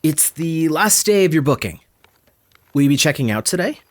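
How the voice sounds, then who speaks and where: An actress playing a polite, tactful motel clerk in an audio drama, chatty and measured. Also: female voice, sits low, big pitch swings. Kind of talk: naturalistic audio drama acting.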